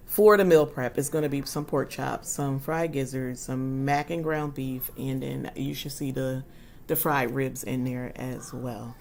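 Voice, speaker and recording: A husky-voiced woman in her forties hosting a casual livestream chat, talking warmly and loosely with her viewers with lots of ums. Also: rumbling low, fairly even intonation, measured pace, slight background noise. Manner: chatty and warm toward her viewers, frequent ums. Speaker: livestream host.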